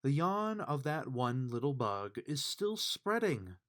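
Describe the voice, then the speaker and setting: A man reading a rhyming children's bedtime book aloud with measured, emphatic storytelling delivery. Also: mid-range voice, very animated, a bit bright. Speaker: storybook reader.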